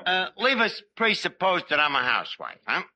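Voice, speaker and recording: A man's voice: high voice, very animated, moderate pace, pushed and bright, full character voice. A man playing a brash, wisecracking tavern manager in a 1950s radio comedy. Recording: noisy old radio broadcast.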